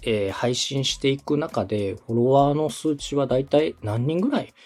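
A man's voice: mid-range voice, moderate pace, a little mellow, soft background music. A man from Osaka speaking as a podcast host, explaining things step by step in a polite, instructive way. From Osaka, Japan. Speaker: podcast host and coach, radio director. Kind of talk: consulting conversation, explaining step by step.

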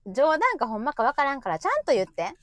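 A woman's voice: fairly high pitch, sing-song, fast talker. A woman with a Kansai Japanese accent chatting casually as co-host, rambling and teasing in giggly comic banter. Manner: giggly, rambling storyteller who teases. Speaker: co-host of a live audio show.

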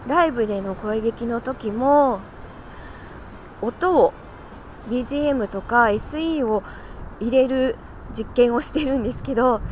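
A woman chatting casually on her own like a morning podcast host, talkative and quick to laugh. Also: on the high side, a little hiss or hum. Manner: chatty, laughs easily while talking. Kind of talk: casual solo morning chat.

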